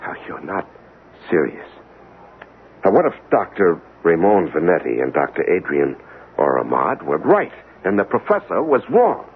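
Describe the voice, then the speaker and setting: A principled, insistent investigator in a 1970s radio drama. His lowish voice swings widely as he questions things in an earnest, halting way. The recording is narrow, like a telephone line. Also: big pitch swings, a little hiss or hum. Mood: indignant.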